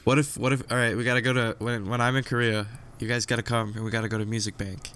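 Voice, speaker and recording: An eighteen-year-old man co-hosting a podcast, easygoing in casual chat and thinking out loud. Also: medium pitch, level tone, quick pace, smooth. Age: late teens. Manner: easygoing, thinks aloud, self-corrects. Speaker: podcast co-host.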